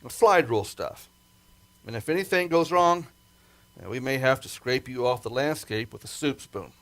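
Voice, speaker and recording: A male amateur actor plays a rocket project chief in a fifties-style radio drama. He instructs insistently in a medium-pitched male voice, plain-spoken and careful, as one responsible for a dangerous job. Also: animated, normal speed, a bit bright. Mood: stern, cautioning. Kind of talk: amateur retro 1950s sci-fi radio play acting.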